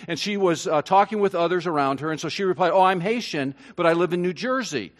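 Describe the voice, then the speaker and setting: A man preaching to his congregation in a slightly raised voice. His delivery is earnest and often halting, full of fillers and restarts, then climbs to emphatic peaks. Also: sits high. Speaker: pastor. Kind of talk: sermon.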